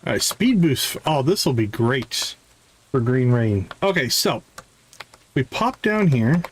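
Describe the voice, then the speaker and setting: A man's voice: medium pitch, very animated, takes it slowly, slight background noise. A man giving casual live gameplay commentary, talking viewers through what he is doing as he plays. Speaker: game streamer, host.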